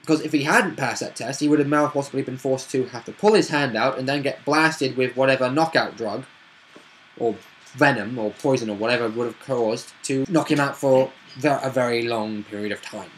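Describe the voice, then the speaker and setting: Casual male storyteller, a tabletop player retelling a session off the cuff, halting and self-correcting. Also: mid-range voice, slight background noise, poor audio. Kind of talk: casual unscripted game recap.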